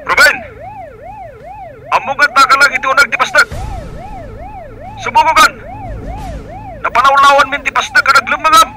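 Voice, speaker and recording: Shouting, very high-pitched policeman. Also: big pitch swings, moderate pace, pauses often, slight background noise, heavily acted. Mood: urgent, commanding. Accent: Ilocano Filipino. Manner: shouted commands. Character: commanding policeman shouting at a fugitive to surrender. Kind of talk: Ilocano radio drama acting.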